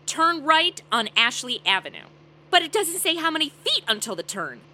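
A woman's voice: high voice, big pitch swings, normal speed, pushed and bright, exaggerated voice. A woman playing a sarcastic, blunt, wisecracking young babysitter in a comedic holiday radio play. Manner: sarcastic, wry.

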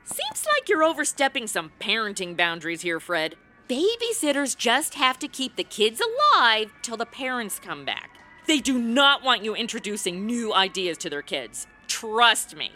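A woman's voice: a little high, very animated, moderate pace, sharp tone, soft background music. A woman playing a brash, blunt young helper to Santa in a comedic radio play, flippant and sarcastic.

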